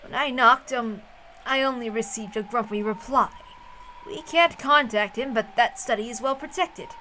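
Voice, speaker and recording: A woman reading a hesitant, defensive middle-aged elder brother in a visual novel, in a measured, halting way. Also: on the high side, pushed and bright, slight background noise, low-quality recording. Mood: flustered.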